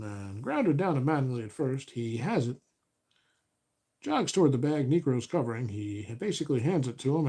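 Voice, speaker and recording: Relaxed man calling a tabletop baseball replay. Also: mid-range voice, slightly rough. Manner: relaxed, self-correcting, counting stats aloud. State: voice getting a little raspy. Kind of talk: play-by-play commentary of a board game replay.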